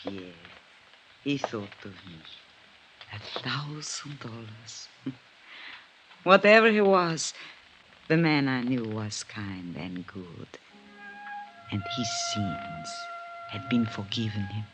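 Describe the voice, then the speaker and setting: An actress playing a sorrowful, devout housekeeper in a 1950s radio drama, halting, polite and emotional. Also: female voice, a bass voice, lively intonation, highs cut off, over music, full character voice. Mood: grieving, tender. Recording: noisy old radio recording.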